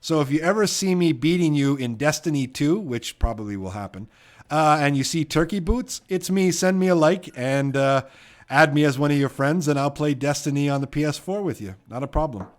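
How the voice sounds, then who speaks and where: A man's voice: on the high side, animated, brisk. A man from Edmonton with a Canadian accent, hosting a trivia live stream in a loose, rambling way and reading out viewer comments. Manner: chatty and rambling, many fillers and "you know what".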